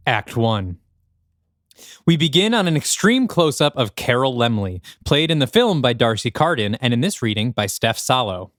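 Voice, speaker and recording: A man reading scripted screenplay narration for an audio drama in an animated, descriptive manner. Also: medium pitch, moderate pace, dry recording, clean studio sound.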